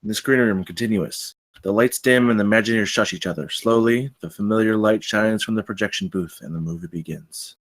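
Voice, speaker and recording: A man's voice: on the low side, a little fast, hardly any pauses. A man narrating stage directions from a script at a live table read.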